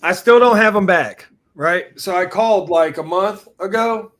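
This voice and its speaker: A man's voice: high voice, a little slow, fairly bright tone. A man from Louisiana with a Southern US accent, talking in a laid-back, rambling way on a casual podcast. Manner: laid-back, rambling, often says "you know what I mean". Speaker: co-host.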